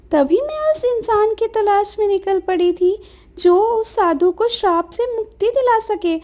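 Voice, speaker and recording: Woman voicing very high-pitched earnest parrot. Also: darkish tone. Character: grateful, earnest female parrot telling her tale. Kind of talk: children's cartoon story acting.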